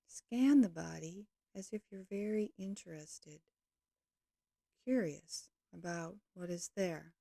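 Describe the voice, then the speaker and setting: A woman leading a guided yoga nidra meditation, speaking calmly and gently in slow, measured short phrases. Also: fairly low pitch, creaky, dry recording, clean studio sound.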